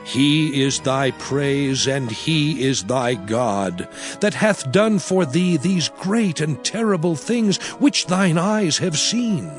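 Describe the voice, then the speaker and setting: Solemn scripture orator, commanding prophet, slightly high-pitched, very expressive melody, dramatized audio Bible style. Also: male voice, takes it slowly. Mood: exhorting. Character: solemn, commanding male prophet addressing his people. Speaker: Bible narrator. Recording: music bed behind the voice. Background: music.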